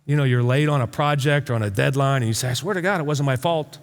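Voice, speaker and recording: A man preaching a conversational sermon as a pastor, informal and emphatic, often halting and repeating words to drive a point home. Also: medium pitch, creaky. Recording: reverberant hall sound.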